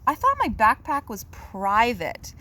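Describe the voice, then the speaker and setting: A woman's voice: fairly high pitch, wildly swooping pitch, measured pace, sharp tone, exaggerated voice. A woman voicing a distracted, evasive schoolboy bear from a picture book, offhand and protesting. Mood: surprised protest.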